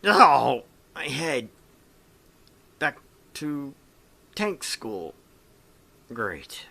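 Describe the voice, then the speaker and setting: A man's voice: medium pitch, very animated, very slow, gravelly, sharp tone, full character voice. A man voicing a bewildered young male student in a visual novel game, muttering in exasperation. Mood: exasperated.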